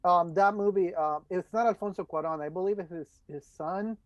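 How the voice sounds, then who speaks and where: A man's voice: high voice, moderate pace, no room sound. A man from El Salvador, talking casually as the host of an interview podcast. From El Salvador, moved to the United States as a child. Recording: dull, dry lo-fi microphone.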